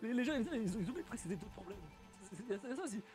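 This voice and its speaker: High-voiced man in a halting stream chat. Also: animated, over music. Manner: halting, repeats words often, laughs a lot. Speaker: streamer reacting to stories. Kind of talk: casual live-stream reaction.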